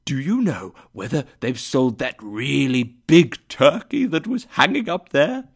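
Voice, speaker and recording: A man's voice: mid-range voice, very animated, a little slow, gravelly, husky, full character voice. A man voicing an overjoyed, reformed old miser in a storybook reading, exclamatory and full of glee. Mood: eager glee. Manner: exclamatory, gleeful, effusive.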